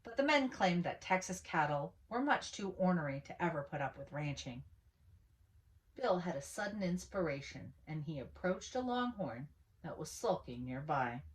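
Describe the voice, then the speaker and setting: A woman reading a picture book aloud as a storyteller, with animated, dramatic emphasis on key words. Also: on the low side, rough recording. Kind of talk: expressive children's story read-aloud.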